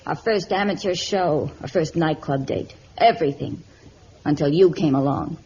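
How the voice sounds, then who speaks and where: A woman's voice: deep voice, normal speed, a little mellow. An actress playing a stubborn, resentful young showgirl in a vintage radio melodrama, speaking in curt, sardonic retorts. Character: bitter, stubborn young showgirl twin sister. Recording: dull, lightly noisy old radio recording.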